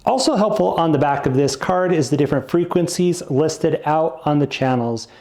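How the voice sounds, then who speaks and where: Male product reviewer, slightly high voice, very mellow and relaxed tone, casual, plain step-by-step explanations. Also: moderate pace.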